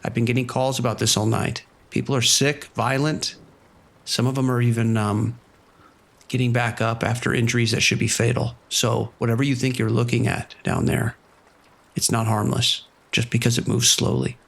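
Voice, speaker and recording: Firm, commanding cop, gravelly voice, radio drama. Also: male voice, medium pitch, level tone, normal speed, fairly bright tone. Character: steady male vice cop on the radio. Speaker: police officer.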